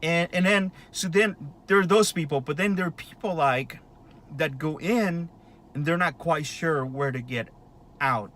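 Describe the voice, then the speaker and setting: A man's voice: fairly high pitch, a little slow, a bit bright. A man in his late thirties talking in a casual, rambling monologue, with lots of fillers and restarts. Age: 30s. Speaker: vlogger.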